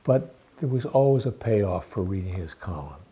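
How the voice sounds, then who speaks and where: An elderly American man in his eighties or older with a husky, aged voice, reminiscing in an interview and telling anecdotes with long pauses between phrases. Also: on the low side, expressive, dark tone, poor audio. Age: 80s or older. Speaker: interviewee, veteran photographer. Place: small indoor room with framed photographs.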